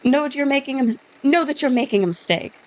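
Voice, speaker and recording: A woman's voice: sits high, lively intonation, fast talker, voice pushed up. A woman reading game dialogue as an earnest man who insists he is telling the truth, in a near-plain voice. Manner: insistent, pleading to be believed. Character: earnest young Englishman accused of murder.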